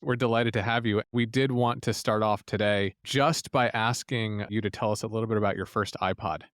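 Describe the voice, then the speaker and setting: A man hosting a podcast, asking long, measured multi-part questions. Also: fairly low pitch, steady tone, fairly bright tone, dry recording, studio quality. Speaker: podcast host. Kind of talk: interview questions.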